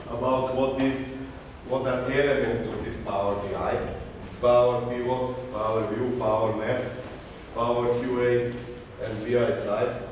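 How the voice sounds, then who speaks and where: A man's voice: medium pitch, recorded from a distance. A middle-aged man from Slovenia with a Slovenian accent, giving a technical conference talk and naming items one by one with light self-deprecating humour. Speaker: conference speaker. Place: large conference hall. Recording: echoey hall, speaker off-mic, audible noise.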